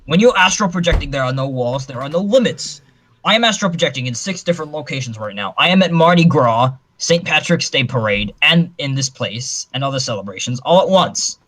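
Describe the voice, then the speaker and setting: A man putting on an eccentric old politician for an improvised comedy parody. He speaks at a middling pitch. His declarations are emphatic, pompous and grandly mystical. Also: lively intonation, fairly quick, fairly bright tone. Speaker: comedy improv performer answering chat questions.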